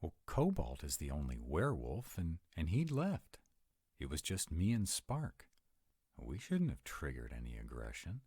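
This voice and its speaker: A man's voice: very deep voice, wildly swooping pitch, unhurried, a little mellow, breathy edge, no echo, clean studio sound, exaggerated voice. A man playing an earnest, dutiful young vampire in a roleplay audio drama, speaking hesitantly and protectively. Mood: troubled, puzzled.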